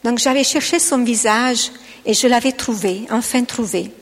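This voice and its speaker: Italian accent lady, kinda high voice, hesitant and gentle, big hall echo. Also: moderate pace. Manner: gentle, hesitant, with frequent restarts. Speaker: speaker giving testimony. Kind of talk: personal faith testimony to an audience.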